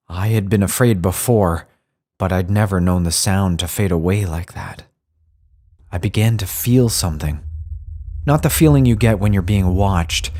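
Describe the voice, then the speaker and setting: A man reading a scripted horror story in a measured, somber first-person way. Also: low-pitched, a little mellow. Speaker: story narrator.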